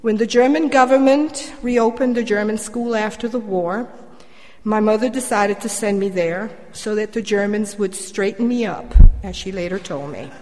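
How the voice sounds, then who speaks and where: An older woman with a medium-pitched voice tells a prepared personal story as a church member. Her delivery is measured with wry humour, and it carries through a microphone into a reverberant hall. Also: darkish tone. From Colombia.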